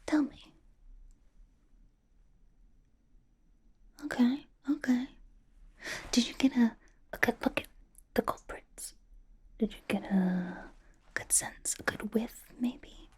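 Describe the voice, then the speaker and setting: A woman playing a chatty, suspicious young superheroine in a soft, breathy ASMR whisper, rambling through a playful interrogation. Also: fairly high pitch, lively intonation, a little slow, long pauses, exaggerated voice. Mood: curious, probing. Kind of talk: ASMR roleplay, mostly whispered personal attention.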